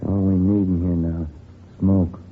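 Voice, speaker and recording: An actor playing a plain-spoken, businesslike police detective partner in a 1950s radio crime drama, brief and matter-of-fact. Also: male voice, sits low, level tone, a little slow. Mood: dry, weary. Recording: lo-fi vintage radio, faint noise.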